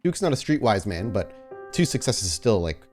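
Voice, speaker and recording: A man with a low voice runs a tabletop roleplaying game as storyteller. He describes scenes in cinematic detail. Faint music plays underneath. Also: sing-song, normal speed, darkish tone.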